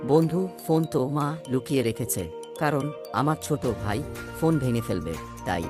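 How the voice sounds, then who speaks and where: A man's voice: mid-range voice, animated, normal speed, close to an everyday voice. A man as a bluffing cartoon boy, music behind. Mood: bragging, evasive. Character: boastful young boy who bluffs to his friends. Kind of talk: Bengali cartoon dialogue.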